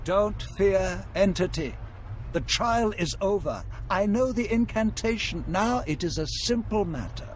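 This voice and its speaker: A man's voice: sits high, expressive, a little slow, a little hiss or hum. An actor playing a cunning, aristocratic Sith lord in a video game, courtly and theatrical, relishing his words. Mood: reassuring. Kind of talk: video game character acting.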